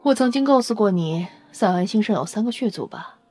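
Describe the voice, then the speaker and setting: A woman's voice: medium pitch, expressive, fairly quick, a little mellow, slightly rough, soft background music. A woman playing a brash, fiery, outspoken female vampire scientist in an audio drama, with an emphatic, informal manner. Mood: explaining. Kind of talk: Chinese audio drama acting.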